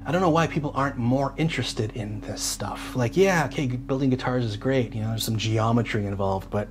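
A man's voice: medium pitch, brisk. A man giving a casual, hands-on workshop walkthrough in an enthusiastic, geeky, colloquial way. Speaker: DIY amp builder and host.

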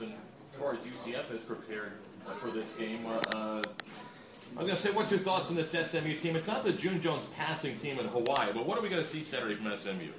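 A man hosting live sports talk radio, asking rambling questions in a halting way with lots of filler sounds. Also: mid-range voice. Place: barbershop during a live broadcast. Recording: radio broadcast heard from far in an echoey shop.

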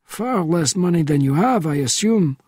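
A calm, wry old Frenchman speaks in a higher, lilting voice, as a man reads him aloud. He sounds husky and breathy, and the storybook reading is close and dry, with no room sound. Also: normal speed, no echo. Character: elderly male French agent, wry and calm.

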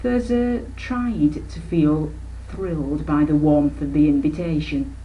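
An older woman. Her voice sits fairly low, and a webcam microphone picks up noticeable background noise. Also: slow pace, lo-fi sound. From Yorkshire, England. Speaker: author reading own novel. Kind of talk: expressive scripted narration.

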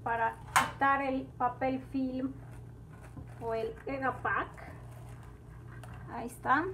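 A woman hosts a cooking video from her home kitchen. Her voice sits high, and she talks easily to the viewers as she works through each step. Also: flat delivery, slow pace, a bit bright, slight background noise, rough recording. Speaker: cooking channel host.

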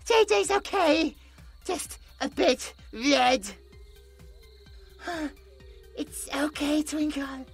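A British man voicing a gentle, childlike possessed male creature who talks about himself in the third person with broken grammar. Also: extremely high pitch, animated, drawn-out words, vocal fry, long pauses, faint music behind, exaggerated voice. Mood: frightened, protective. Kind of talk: horror audio roleplay.

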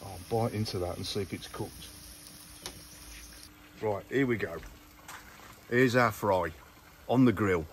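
A man's voice: lowish voice, slow pace, long pauses, slight background noise. An older man hosting a casual outdoor cooking vlog, chatting to viewers as he cooks at a garden grill. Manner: chatty, talks to viewers while cooking, says "look" often.

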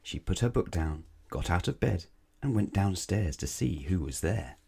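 Deep-voiced man reading a bedtime story expressively. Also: animated, normal speed, gravelly, warm tone, husky. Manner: dramatic, with stretched words and emphatic sound words. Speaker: children's storybook reader. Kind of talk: expressive read-aloud bedtime narration.

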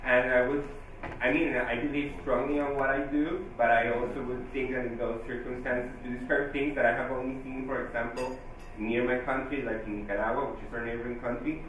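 A man from Costa Rica asks an earnest question from the audience, searching for his words. His voice booms around a big hall. A faint murmur sits behind him, and the mic is set some distance away. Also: flat delivery, a little fast, a bit bright, slight background noise. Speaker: audience member asking a question. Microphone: handheld mic.

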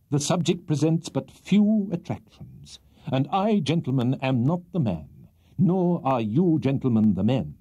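Guy doing a puffed-up victorian lawyer, huffy theatrical oratory, bit husky, pitch all over the place. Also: warm tone. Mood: dramatic, indignant. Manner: theatrical oratory, indignant and swelling. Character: pompous, bombastic male barrister haranguing a jury. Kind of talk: dramatised audiobook reading of a Victorian comic novel.

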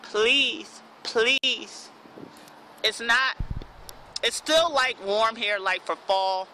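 A man of about thirty recording an earnest, halting, repetitive plea as an amateur vlog outdoors on a phone. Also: extremely high pitch, sharp tone. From United States. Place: outdoors in a park. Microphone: phone at arm's length. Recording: outdoor phone video, faint background noise.

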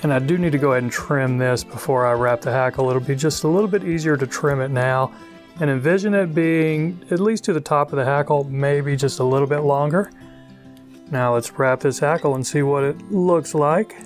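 Casual middle-aged male instructor, somewhat high voice, talks as he works, faint music underneath. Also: moderate pace. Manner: relaxed, chatty, thinking aloud. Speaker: fly tying instructor. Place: outdoors on a wide river.

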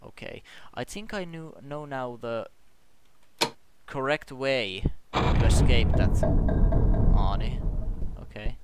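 A young man with a Finnish accent, a native Finnish speaker, commenting on a horror game through a headset, talking haltingly with many restarts and yelping when startled. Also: mid-range voice, animated. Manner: startled yelps and shouting when scared. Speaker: gameplay commentator. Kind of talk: let's play commentary. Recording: loud game music behind the voice.

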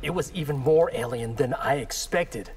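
A man's voice: mid-range voice, normal speed, darkish tone, soft background music, lightly acted. A man voicing an earnest, friendly young ninja in a fighting game, casual and eager. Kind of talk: video game fight dialogue.

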